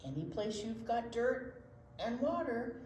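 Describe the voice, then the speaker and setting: A woman's voice: fairly high pitch, animated, measured pace, darkish tone. A woman presenting storytime, chatting and reading aloud for young children. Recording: roomy echo, noticeable room noise.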